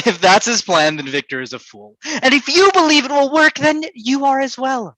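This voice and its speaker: A woman's voice: sits high, very animated, normal speed, a bit bright, no room sound, exaggerated voice, rough recording. A woman playing a haughty, scornful debater in a gothic staged reading, emphatic and cutting. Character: haughty, argumentative, scornful literary critic in gothic drama.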